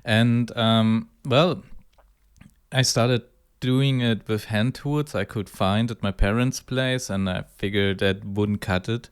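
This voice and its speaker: Low-pitched man with a clear, smooth voice. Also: steady tone, slow pace. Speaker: hobby guitar builder presenting own project. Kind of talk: casual talk to camera.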